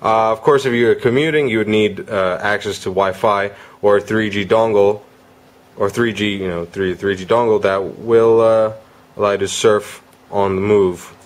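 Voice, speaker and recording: Male product reviewer, lower-pitched, casual and unscripted, slightly halting delivery with mid-sentence rephrasing. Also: fairly even intonation.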